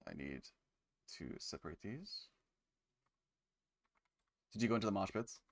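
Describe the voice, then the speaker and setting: A man's voice: on the low side, animated, a little slow, no room sound. A man streaming while building a game, talking laid-back and muttering his steps to himself. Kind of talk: casual chat while working. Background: keyboard typing and clicks.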